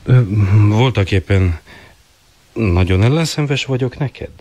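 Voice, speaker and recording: A man's voice: on the low side, expressive, a little mellow, natural voice. A man playing an earnest, awkward police sergeant in a radio drama, speaking hesitantly and courteously in a fatherly way. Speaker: actor in a radio play. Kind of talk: 1980 radio drama acting.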